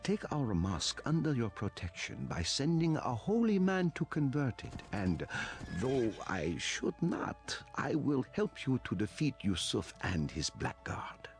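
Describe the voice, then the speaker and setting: A man acting a devout, gentle Moorish holy man in a video game, speaking formally and solemnly. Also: medium pitch, very animated, unhurried, soft background music. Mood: grave, grateful.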